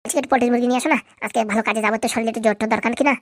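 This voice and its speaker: A woman's voice: on the high side, fairly even intonation, racing, dry recording, studio quality, lightly acted. A woman voicing a cocky, lazy young male thief in a comedy cartoon, brash and slangy, full of bragging. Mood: whining.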